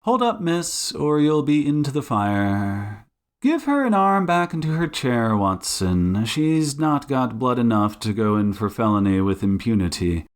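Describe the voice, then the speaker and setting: A man playing a shrewd, commanding detective in an audiobook, suave and mocking, with deliberate, condescendingly polite delivery. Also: mid-range voice, sing-song. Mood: scornful. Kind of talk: audiobook character acting.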